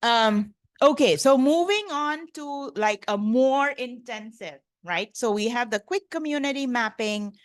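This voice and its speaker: A woman from India lectures at a conference in a chatty, loose way, scattering "like" and "you know" through her sentences. Her voice sits a little high. The sound is dry and close. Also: animated, unhurried, hardly any pauses, no room sound. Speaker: professor lecturing.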